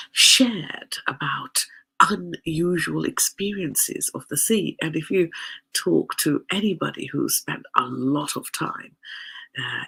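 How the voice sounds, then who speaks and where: A woman's voice: deep voice, gravelly, fairly bright tone, breathy edge, poor audio. A woman hosting a podcast in a casual solo talk, with a nasal voice and a chatty, rambling manner full of fillers. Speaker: podcast host.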